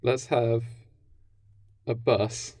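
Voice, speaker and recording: A man co-hosting a podcast, offering casual joking ideas in a deadpan, offhand way. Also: medium pitch, extremely slow, dry recording. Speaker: podcast co-host.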